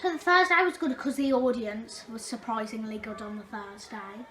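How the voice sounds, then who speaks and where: A woman's voice: on the high side, normal speed, fairly bright tone. A young woman chatting casually about performing. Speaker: amateur pantomime performer. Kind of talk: casual interview chat.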